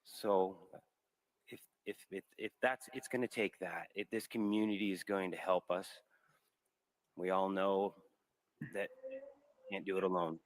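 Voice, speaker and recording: A father speaking at a memorial in a very deep voice. He halts often, heartfelt, with fillers between thoughts. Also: steady tone, unhurried, long pauses, poor audio. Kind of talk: memorial speech.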